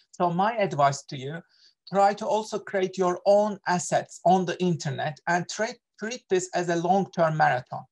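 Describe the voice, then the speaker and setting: A middle-aged man with a Turkish accent leads an online workshop over a video call. He talks in an earnest, lecturing way. He often stops to fill pauses and correct himself. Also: high voice. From Turkey. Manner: earnest, many fillers and self-corrections. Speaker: workshop presenter, associate professor.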